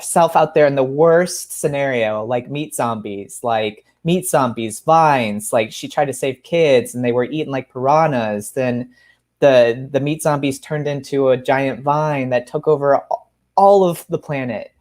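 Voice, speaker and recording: A man speaking as a guest author in a conversational interview, candid and thoughtful, pausing as he explains. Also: mid-range voice, darkish tone. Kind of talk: conversational interview about writing.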